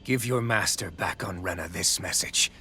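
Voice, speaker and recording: An actor playing a ruthless, power-hungry villain in a video game cutscene, talking coldly and rising to grandiose proclamations. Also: male voice, sits low, normal speed, bright, forceful tone, a little hiss or hum. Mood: cold menace. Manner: cold, menacing, rising to proclamations. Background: game music.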